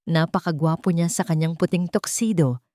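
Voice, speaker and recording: Low-pitched woman narrating a dramatic audiobook. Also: normal speed, dark tone, no room sound, studio quality. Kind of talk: dramatic first-person scripted narration.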